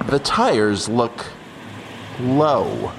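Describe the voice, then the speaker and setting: An actor playing a uniformed police officer in an audio drama, probing and falsely cheerful with quiet menace. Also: male voice, medium pitch, wildly swooping pitch, extremely slow, vocal fry, background noise, exaggerated voice. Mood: pointed, veiled menace.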